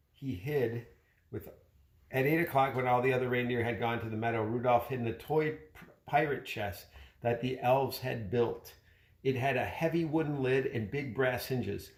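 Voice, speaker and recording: Man, mid-range pitch, expressive storybook reading, fatherly chatty delivery, roomy echo. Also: gravelly. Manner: expressive, pausing to chat with the child. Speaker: father reading a bedtime-style story to a child. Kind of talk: children's storybook read aloud. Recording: roomy, echoey home recording.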